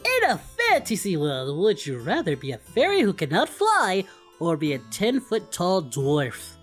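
A woman's voice: on the low side, wildly swooping pitch, measured pace, faint music behind. A woman playing a goofy, easygoing and boastful male anime warrior hero in improvised, folksy, rambling parody game chat. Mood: dramatic, playful. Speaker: host of a gaming channel.

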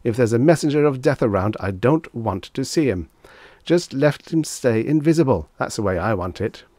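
A man's voice: medium pitch, animated, normal speed, a little mellow, dry recording, pitched above the performer's own voice, close to an everyday voice. A man voicing a brash, sarcastic, easily rattled man in a dramatised story reading, with blustering, exclamatory delivery. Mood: dismissive.

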